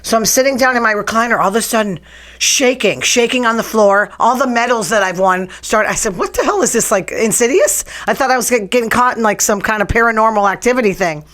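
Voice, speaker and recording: A woman's voice: on the high side, fast talker, a bit bright. Chatty, blunt woman. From New England. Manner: chatty, blunt, sarcastic rants. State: strained, nearly lost voice. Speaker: podcast host. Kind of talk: casual talk show chat.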